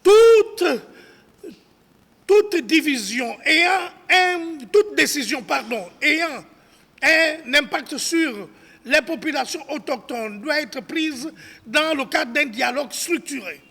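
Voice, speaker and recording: Man, bright forceful tone, gravelly creak, solemn declaimed reading, formal public statement, occasional stumbles. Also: very high voice, expressive, slow. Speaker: civil society spokesman. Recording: long reverberation of a hall.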